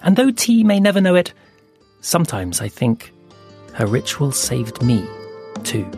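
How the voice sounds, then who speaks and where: A man narrating an audio fable in a slow, hushed storytelling manner with dramatic pauses. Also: medium pitch, wildly swooping pitch. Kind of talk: scripted audio fiction narration. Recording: soft music underneath.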